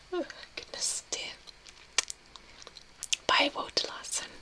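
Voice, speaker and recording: A young woman whispering softly as she reads and answers a live chat, a little tearful. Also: drawn-out words, rough recording. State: tearful, recently crying. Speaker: ASMR streamer. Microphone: webcam mic.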